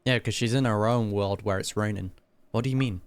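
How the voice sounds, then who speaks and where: A man's voice: on the low side, steady tone, fairly quick, clean tone. A man giving casual, slangy live commentary as a gaming streamer, with wry asides. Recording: game music behind the commentary.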